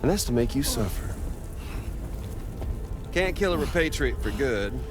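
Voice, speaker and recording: A man's voice: medium pitch, very animated, a little slow, over music. An actor playing a sadistic, taunting male villain in a video game, gloating theatrically. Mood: gloating menace.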